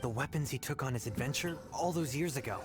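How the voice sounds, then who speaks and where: A voice actor playing an earnest young adventurer who speaks sincerely and eagerly. The voice sits in a middle pitch. Game music plays clearly behind. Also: fast, close to an everyday voice.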